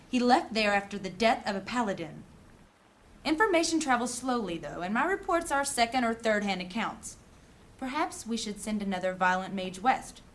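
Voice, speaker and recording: Actress doing a proud cutting mage lady, highish voice, formal fantasy audio drama talk. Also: close to an everyday voice. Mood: cool, pointed. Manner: formal, theatrical delivery. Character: strong-willed female council mage, suspicious and cutting.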